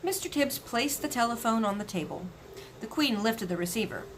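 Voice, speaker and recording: Animated, fairly high-voiced woman reading aloud. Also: fairly quick, a little hiss or hum. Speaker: story reader. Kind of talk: expressive children's book read-aloud.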